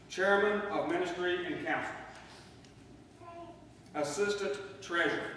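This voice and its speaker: A man in middle age reads a eulogy slowly and deliberately, in a slightly high voice. His words come from far off in a very reverberant church hall. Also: a bit bright. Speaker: eulogist, son of the deceased. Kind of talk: read memorial eulogy. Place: church sanctuary. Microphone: distant microphone in a large room. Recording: distant mic, echoey hall, faint noise.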